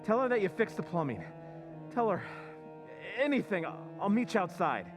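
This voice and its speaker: A man playing a desperate husband in an old-time radio drama recreation, talking in an urgent, hurried way. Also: high voice, big pitch swings, over music. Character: desperate middle-aged husband Walter.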